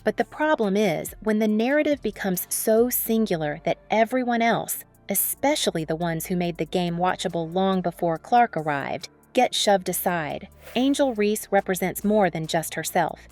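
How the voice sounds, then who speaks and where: A woman's voice: medium pitch, animated, moderate pace, few pauses, darkish tone. Woman narrating dramatic sports story over music.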